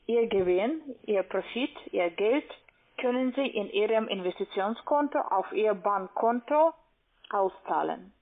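A woman's voice: mid-range voice, lively intonation. Woman with foreign accent on tinny phone line. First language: not German. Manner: halting, searching for words. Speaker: call-center investment agent. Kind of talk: phone sales conversation. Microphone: telephone.

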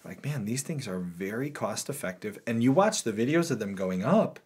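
Brief: man, 30s, podcast co-host, animated and joking, small studio room. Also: fairly low pitch, normal speed, over a noisy crowd. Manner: animated, joking, gestures a lot. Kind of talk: casual chat. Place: small studio room with wood-panel wall.

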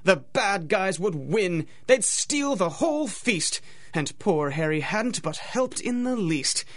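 Lady storyteller doing rhyming radio drama narration, mid pitch, dramatic and singsongy. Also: lively intonation, slow pace. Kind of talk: scripted rhymed storybook narration.

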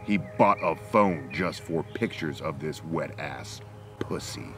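A man's voice: extremely low pitch, takes it slowly. A man in his forties giving an emphatic, theatrical mock-dramatic reading of rap lyrics. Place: outdoors among trees. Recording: music under the voice. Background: music.

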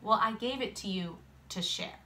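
A woman's voice: mid-range voice, normal speed, bright, forceful tone, natural voice. A woman voicing a bossy storybook schoolboy who is curt, dismissive and grudging. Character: bossy schoolboy who guards the playground game.

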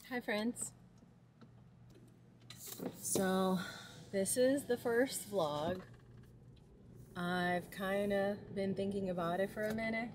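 A woman's voice: mid-range voice, slow pace, long pauses. A young woman from Portugal talking casually to a dashboard camera while driving, thinking aloud with fillers. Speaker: vlogger, dancer. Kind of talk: casual vlog talk to camera. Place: inside a car. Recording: noisy car interior.

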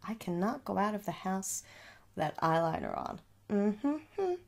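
A young woman speaking softly in an ASMR shop roleplay, coaxing the listener with little questions in a husky voice. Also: mid-range voice, big pitch swings, a little slow. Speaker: ASMR performer playing a makeup shop seller. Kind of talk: soft-spoken ASMR roleplay. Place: small room at home.

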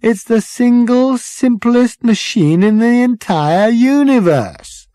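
A narrator acting as an exasperated man in a comic sci-fi storybook. The voice climbs high and swoops around, sarcastic and emphatic in its disbelief. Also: wildly swooping pitch, measured pace, darkish tone, no room sound, studio quality. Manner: incredulous, emphatic.

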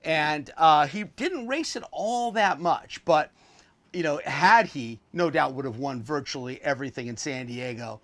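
A man's voice: a little high, animated, measured pace, a bit bright. A man in his sixties giving a casual, offhand, opinionated tour as a video host, recorded inside a small boat cabin.

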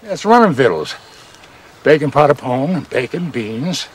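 An actor playing an easygoing middle-aged outlaw mentor in a western series, with gravelly voice and folksy old-time phrasing. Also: male voice, medium pitch, sing-song, unhurried, a little hiss or hum, rough recording. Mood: wry, reminiscing. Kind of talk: screen acting, casual western dialogue. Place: outdoors by a river.

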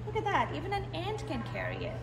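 A woman in her thirties reads a picture book aloud to young children as a library storyteller, stretching key words for expressive emphasis. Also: very high-pitched, fast talker. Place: indoors in a library, seated. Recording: roomy echo, clear background noise.

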